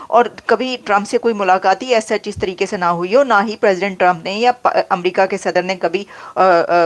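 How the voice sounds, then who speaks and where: A woman with a Pakistani accent giving emphatic, unscripted political commentary, mixing in English phrases. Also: medium pitch.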